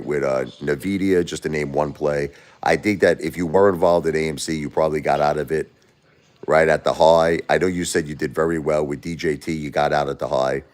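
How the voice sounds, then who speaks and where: A man with a very low voice chats on his home livestream. He talks bluntly, doubles back on his words and argues with critics in the chat. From Brooklyn, New York. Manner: blunt, repeats himself, spars with critics. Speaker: livestream host. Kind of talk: casual livestream chat answering viewers.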